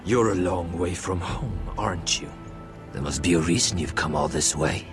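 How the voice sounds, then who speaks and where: An actor playing a suspicious, blunt wildling warrior in a video game, with music underneath. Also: male voice, sits low, fairly even intonation, moderate pace, close to an everyday voice. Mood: distrustful. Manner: distrustful, blunt.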